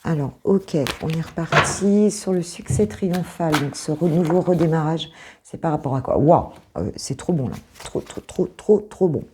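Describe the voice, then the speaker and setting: A French woman who is a coach, reading cards to her viewers in a casual, hesitant way with many fillers and a slightly hoarse voice. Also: low voice, moderate pace, noisy background. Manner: hesitant, many fillers, soft reassuring asides.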